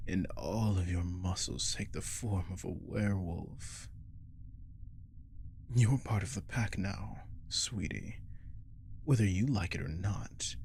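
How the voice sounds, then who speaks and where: Man acting a seductive werewolf, gravelly fry, slow teasing delivery, menacing, possessive, ASMR roleplay, close mic, faint background noise. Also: on the low side, darkish tone. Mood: menacing, persuasive. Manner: slow, coaxing, teasing, often dropping to whisper. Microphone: close microphone.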